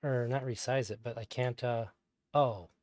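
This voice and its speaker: A man casually commenting on an old stream. He calls his own voice higher-pitched, rambles, and swears now and then. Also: steady tone, moderate pace, darkish tone, no echo, clean studio sound.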